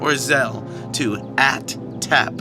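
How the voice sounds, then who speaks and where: A man, the director and producer, reading scripted closing credits in a measured, formal way with playful touches. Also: mid-range voice, over music.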